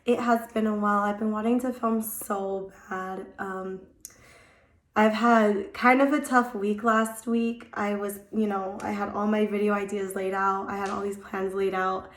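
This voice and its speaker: A young woman vlogging in a casual, rambling way, her voice hoarse from a cold and just coming back. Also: mid-range voice, level tone, unhurried, reverberant. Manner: chatty, rambling, many "like" fillers. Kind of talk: casual chat to camera. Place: at home.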